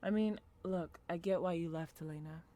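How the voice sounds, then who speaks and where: A woman's voice: lowish voice, moderate pace, dark tone, no room sound, close to an everyday voice. A woman playing a guarded, concerned old friend in a naturalistic audio drama. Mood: hurt, reproachful.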